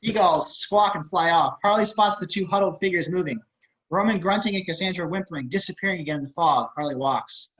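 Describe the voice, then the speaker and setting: Male stage-directions reader, slightly high voice, scripted narration, lo-fi online call sound. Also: brisk, fairly bright tone, slightly rough. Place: indoors, on a video call. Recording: dull video-call audio.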